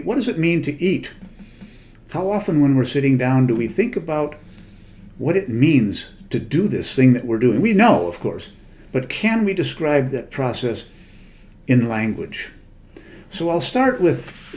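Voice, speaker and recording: A man's voice: medium pitch, warm tone. An older man giving a conversational lecture as a literary translator, thoughtful and digressive, with frequent hesitations and self-corrections. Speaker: literary translator and guest speaker.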